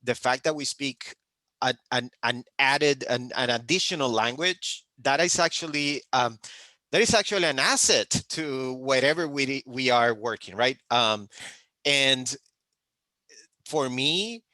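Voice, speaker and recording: A man from Puerto Rico with a Puerto Rican accent and Spanish as his first language, giving informal advice as a panelist in an emphatic, halting way. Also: mid-range voice, bright, forceful tone, no room sound.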